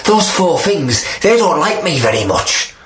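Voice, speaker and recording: A cheeky, desperate little spider begs and schemes in a high, squeaky voice, as a man puts him on. He talks fast and pleading, always wheedling for what he wants. The sound carries a roomy echo. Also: very animated, a bit bright.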